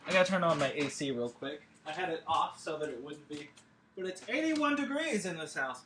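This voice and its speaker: A man's voice: a little high, expressive, a little fast, a bit bright, a little hiss or hum. A man leading a table read, chatting casually from home over a video call, rambling with lots of fillers and restarts. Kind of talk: casual chat.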